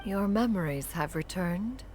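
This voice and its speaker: A woman playing a dignified, aloof immortal master with a creaky voice and lofty, archaic, measured phrasing in a game. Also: medium pitch, animated, loud music behind the voice, natural voice. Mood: composed, knowing. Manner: lofty, archaic, measured, refers to self as one. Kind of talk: scripted dramatic game acting.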